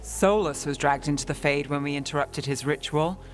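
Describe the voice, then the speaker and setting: A woman playing a cool, sardonic investigator in a fantasy video game, with a dry and measured way of talking. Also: sits low, lightly acted. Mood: cool, wry. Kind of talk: video game cutscene acting. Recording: loud music behind the voice.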